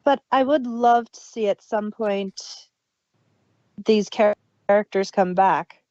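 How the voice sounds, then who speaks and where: A woman's voice: mid-range voice, slow pace, mellow, dry recording. A woman co-hosting a casual review podcast, chatting with fan enthusiasm and trailing restarts. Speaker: podcast co-host. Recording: dull lo-fi dry sound.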